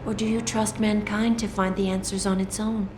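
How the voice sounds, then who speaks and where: A woman playing a calm, poised artificial newsreader in a video game, speaking formally and deliberately. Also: mid-range voice, a little hiss or hum, natural voice. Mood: calm, grave. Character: calm, poised female AI construct, a news reporter. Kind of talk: video game voice acting, measured and portentous. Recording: roomy echo, loud music behind.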